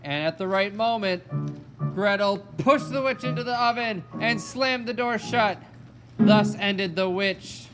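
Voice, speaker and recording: Someone telling a kids puppet show story, on the low side, music playing clearly behind. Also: measured pace. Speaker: storyteller narrator. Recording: loud music alongside the voice.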